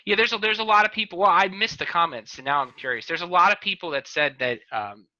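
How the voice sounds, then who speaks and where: A man with a piercingly bright, fairly high voice rambles and jokes as a podcast host. He trails off mid-sentence often. The sound is dry and a little muffled, like video-call audio. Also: quick pace, no room sound. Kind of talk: casual chat on a video call.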